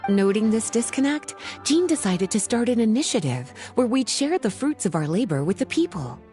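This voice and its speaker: A scholarly witch librarian for a video game. She is coy and playful, speaking in polished, unhurried phrases that swing expressively in pitch. Soft music plays behind her. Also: big pitch swings. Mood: explanatory. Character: playful, languid scholarly witch librarian, adult woman, teasing. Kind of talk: video game voice acting, scripted dialogue.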